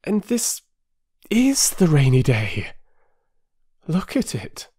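A reader voicing an impetuous, excitable middle-aged woman who pleads in an eager rush. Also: medium pitch, slow pace, slightly rough, voice pushed up, exaggerated voice. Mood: eager pleading. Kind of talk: audiobook character voice.

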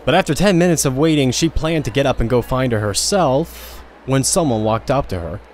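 A man's voice: medium pitch, animated, fairly quick. A man narrating an audio drama in a casual storytelling manner.

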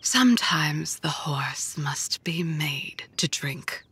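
A woman voicing a haughty, menacing sorceress in a video game, theatrical and breathy. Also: low-pitched, animated, slow, a bit bright, exaggerated voice. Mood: cold menace. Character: sinister, haughty dark sorceress with godlike contempt. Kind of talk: video game character voice lines.

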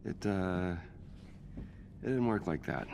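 Gravelly, hesitant, gentle mentor. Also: male voice, sits low, lively intonation, slow, exaggerated voice. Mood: gentle, reassuring. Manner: hesitant, fumbling, mumbled. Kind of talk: naturalistic video game acting. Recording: long reverb, faint background noise.

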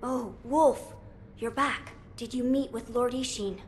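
A woman's voice: fairly high pitch, takes it slowly. A little noble boy in a game, solemn n polite, proper speech, faint ambient noise. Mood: earnest, gracious. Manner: formal, earnest, polite. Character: solemn young noble boy, earnest and dignified. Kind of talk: video game voice acting.

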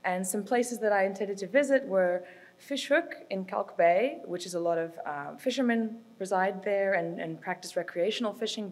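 A young woman from South Africa whose first language is Afrikaans, earnestly explaining her reporting project at a conference podium with frequent ums and uhs. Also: fairly low pitch. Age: young adult. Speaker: student reporting fellow presenting her project. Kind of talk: conference presentation. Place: hotel function room with a projection screen. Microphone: podium microphone, heard from a distance.

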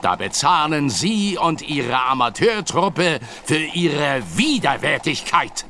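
A man's voice: a little high, big pitch swings, takes it slowly, sharp tone, a little hiss or hum, heavily acted. An actor playing a ruthless, vengeful aristocrat and grieving father who makes cold, clipped threats in a radio drama. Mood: menacing. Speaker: villain.

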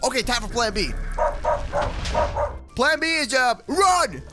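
A man playing an excitable, sassy kid hero in an animated gaming roleplay, peppering his talk with slang. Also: very high voice, slow, loud music behind the voice, heavily acted. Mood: frantic. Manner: slangy, says bro and dude constantly. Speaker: gaming video creator playing the lead character. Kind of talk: animated Minecraft kids roleplay acting.

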